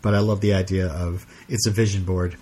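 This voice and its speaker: A man hosting a podcast in casual chat, rambling and halting with many fillers and frequent laughs. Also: low voice, little pitch movement, darkish tone, slight background noise. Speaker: podcast host.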